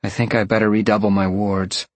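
A man's voice: fairly low pitch, level tone, a little fast, no echo, lightly acted. A man voicing a confident, protective woman of magic in an audiobook. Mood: worried.

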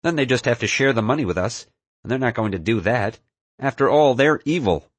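A young villain, as a male narrator plays him, talks firmly and with resolve. The pitch is animated and the sound is dry and close, as in an audiobook. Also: a little fast, breathy edge, dry recording. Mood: confident, firm. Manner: confident, firm, resolved. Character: newly confident young male villain having second thoughts. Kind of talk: audiobook character acting.

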